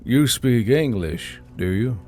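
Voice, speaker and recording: A man playing a cool, unflappable gang leader who speaks in a slow, low drawl with quiet menace. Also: animated.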